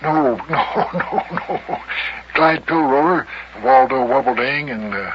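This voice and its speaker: A man's voice: medium pitch, expressive, slow pace. Man playing a folksy old mayor, muffled vintage radio. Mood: chatty, bashful. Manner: rambling, stammering, chuckling, rustic grammar. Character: folksy, bashful old small-town mayor and bridegroom. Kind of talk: old-time radio comedy acting. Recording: dull, noisy vintage radio recording.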